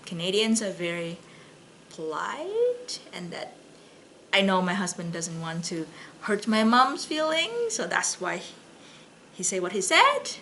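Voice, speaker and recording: Slow, bright-voiced woman telling jokes casually. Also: medium pitch, wildly swooping pitch, slight background noise. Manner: halting, chatty, with false starts and slips. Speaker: library story and joke reader. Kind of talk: casual read-aloud of jokes with personal chat.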